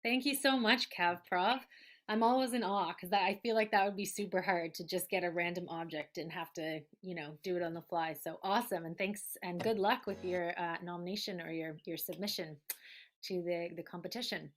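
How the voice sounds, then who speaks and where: A woman's voice: on the low side, normal speed. A woman presenting a show in an informal, conversational style as its host.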